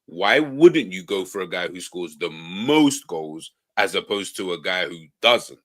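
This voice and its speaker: A man's voice: low-pitched, dry recording. A man on a football podcast panel, laughing easily and reasoning out his views aloud. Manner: animated, quick to laugh, reasons things out aloud. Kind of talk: casual football chat.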